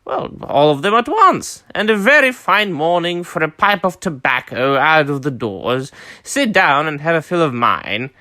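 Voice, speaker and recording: A prim, fussy hobbit gentleman, set higher and fussier than everyday talk, as a man reads him aloud. He sounds proper and easily flustered, like a character from a storybook. The room is dry. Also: very animated, measured pace, dry recording, full character voice. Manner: prim, emphatic reading.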